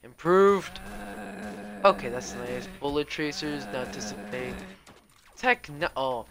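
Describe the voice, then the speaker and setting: A man's voice: on the high side, expressive, slow pace, crowd noise. Young man mumbling through a game stream. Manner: rambling, mumbly, swears freely, many fillers. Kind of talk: casual gaming livestream commentary.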